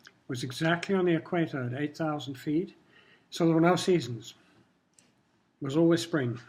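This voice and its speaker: Husky older man, Kenya-raised. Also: fairly high pitch, darkish tone. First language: Swahili. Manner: measured, reflective storytelling. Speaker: interviewee. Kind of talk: casual interview talk.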